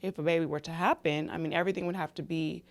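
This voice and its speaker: Low-pitched woman chatting earnestly. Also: steady tone, very fast. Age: 30s. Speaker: co-host of a couple's family vlog. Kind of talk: casual conversational chat.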